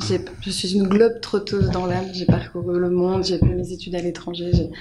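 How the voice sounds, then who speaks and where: Young female candidate, lowish voice, flat assured delivery, echoey studio with faint hiss. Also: moderate pace. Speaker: local election candidate. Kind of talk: political panel discussion.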